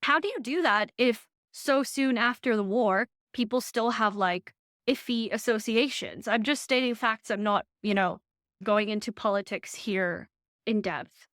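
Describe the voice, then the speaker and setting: A young woman chats straight to camera from her living room, animated and full of asides. Her voice sits a little high and bright in a dry, close recording. She sounds like she is getting over an illness. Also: no room sound. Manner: chatty, animated, quick asides. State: recovering from illness. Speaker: vlogger and design lecturer. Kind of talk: chatty casual vlog update.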